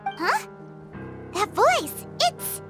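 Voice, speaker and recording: A tiny, excitable fairy girl from a video game, extremely high in pitch and swooping all over the place. She is eager and exclamatory, and loud music plays behind her. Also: drawn-out words, heavily acted. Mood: worried. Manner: refers to self in third person, exclamatory.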